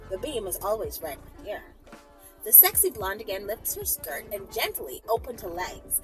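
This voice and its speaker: A woman's voice: on the high side, a bit bright, recorded from a distance, rough recording. A woman reading a story aloud in a dramatic style, with playful, teasing asides to her listeners. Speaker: storyteller, channel host.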